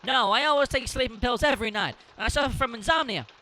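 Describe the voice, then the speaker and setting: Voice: mid-range voice, fast, pushed and bright, a little hiss or hum. A performer playing a defensive, earnest farm heir protesting innocence in a comic audio drama. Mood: insistent. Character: defensive grieving farm heir, soft-hearted, insomniac.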